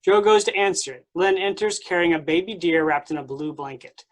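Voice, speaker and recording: A woman's voice: fairly low pitch, fairly quick. A woman reading aloud and hosting at a staged play reading. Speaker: stage-directions reader and moderator.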